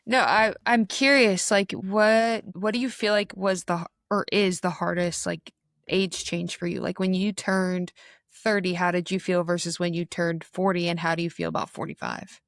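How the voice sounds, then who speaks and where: A woman's voice: fairly low pitch, steady tone, moderate pace, no echo, studio quality. A woman co-hosting a podcast, asking curious questions in casual chat. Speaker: podcast co-host.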